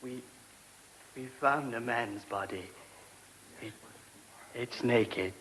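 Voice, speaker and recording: Halting, shaken monk, hissy recording. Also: male voice, medium pitch, fairly bright tone, rough recording. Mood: shocked. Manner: halting, repeating words. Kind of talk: British radio play acting. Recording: hissy old radio recording.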